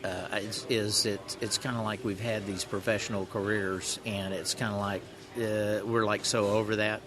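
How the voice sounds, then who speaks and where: Wry low-voiced middle-aged man, crowd around. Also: little pitch movement. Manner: teasing, quick interjections, wry. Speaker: podcast co-host. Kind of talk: casual chat.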